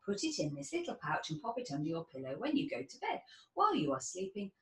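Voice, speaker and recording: A woman voicing a tired, grimy little tooth fairy from a storybook, eager and helpful, with a breathy delivery. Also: lowish voice, wildly swooping pitch, fast, fairly bright tone. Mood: reassuring. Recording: roomy, distant mic, faint noise.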